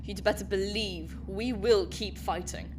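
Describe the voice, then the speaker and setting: A woman's voice: medium pitch, unhurried, noisy background, close to an everyday voice. A woman voicing a firm, defiant female team leader.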